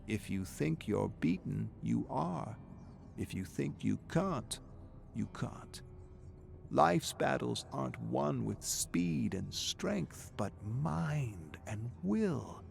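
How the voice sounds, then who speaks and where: A man voicing a supportive, wise mother who speaks earnestly, deliberately and with emphasis. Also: mid-range voice, big pitch swings, creaky, darkish tone, soft background music, full character voice. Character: supportive, wise middle-aged mother giving firm pep talks.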